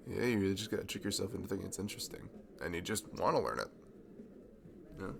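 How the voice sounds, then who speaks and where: A man plays a young college guy in an intimate audio roleplay, friendly and easily flustered. His low voice crackles with fry and swings expressively. He stammers and pokes fun at himself at a slow overall pace. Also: expressive. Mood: bashful, chatty. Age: young adult. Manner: hesitant, stammering, self-deprecating. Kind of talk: naturalistic ASMR roleplay acting.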